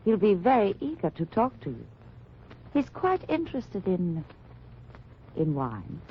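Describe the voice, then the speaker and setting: An actress playing an evasive German housewife, polite and eager, in an old radio drama. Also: female voice, medium pitch, huge pitch swings, a little slow, a little hiss or hum. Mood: polite, flustered. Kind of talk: 1940s-50s radio drama acting. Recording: dull old radio recording.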